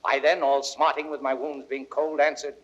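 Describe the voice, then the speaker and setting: A man's voice: mid-range voice, level tone, normal speed, fairly bright tone, highs cut off, natural voice. An actor playing a proud, fiery young nobleman, declaiming Shakespearean verse emphatically on a vintage audio drama recording. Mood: indignant, scornful. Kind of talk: classical Shakespearean radio acting.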